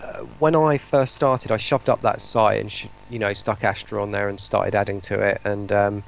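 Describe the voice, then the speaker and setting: A British man with a gravelly voice chatting casually as a guest on a livestream, rambling and self-deprecating. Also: lowish voice, steady tone, moderate pace, a little hiss or hum, rough recording. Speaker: interview guest, voice pack maker. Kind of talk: casual livestream interview chat.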